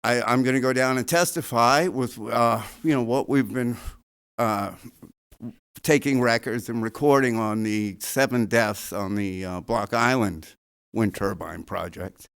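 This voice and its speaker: A man giving an impassioned public comment at a town board meeting, halting with many fillers. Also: mid-range voice, fairly bright tone, clean tone.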